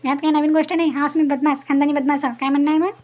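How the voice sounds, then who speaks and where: A woman's voice: high-pitched, flat delivery, racing, darkish tone, natural voice. A woman voicing a brash, stubborn, quarrelsome village woman in an amateur cartoon comedy, blunt and chatty, with a Varhadi Marathi accent. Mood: defiant.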